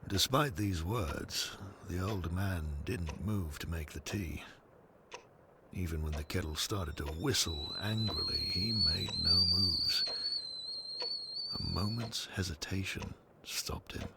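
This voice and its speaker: A man's voice: sits low, takes it slowly, very noisy. A man reading scripted, storybook-style narration for an audio drama.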